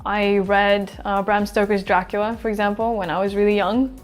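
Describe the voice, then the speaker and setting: A woman's voice: medium pitch, moderate pace, faint music behind. Young swedish-born woman chatting about teaching, easygoing, mid voice, some ums. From Sweden. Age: 20s. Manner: relaxed, chatty, thinks aloud with fillers. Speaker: English teacher being interviewed. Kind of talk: casual interview answers.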